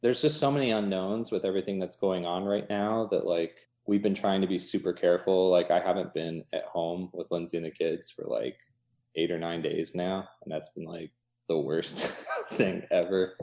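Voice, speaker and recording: A man's voice: deep voice, fairly even intonation, normal speed, a little mellow. An American man talking casually in an interview, thinking aloud in loose fragments peppered with "like" and "you know". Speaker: coffee shop owner being interviewed.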